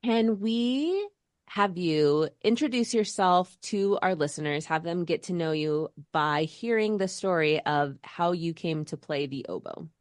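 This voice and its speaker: A woman with a fairly low voice hosts a podcast and speaks in a measured, welcoming way. The sound is dry and close, with no room around it. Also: lively intonation, dry recording. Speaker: podcast host. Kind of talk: formal welcoming introduction.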